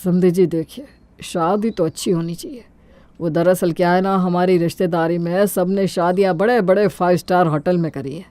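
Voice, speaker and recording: A man's voice: high-pitched, fairly even intonation, normal speed, darkish tone, dry recording, natural voice. A man acts out a middle-aged father in a cartoon moral tale, scheming for his son's wedding. His delivery is mock-humble and persuasive, recorded very dry. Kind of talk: Hindi cartoon moral-story dubbing.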